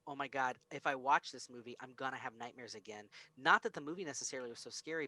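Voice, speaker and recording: Bright-voiced American man telling stories. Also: medium pitch, poor audio. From United States, grew up in Springfield and Texas. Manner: animated storyteller, acts out quoted lines. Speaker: podcast co-host. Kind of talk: casual film discussion, personal storytelling.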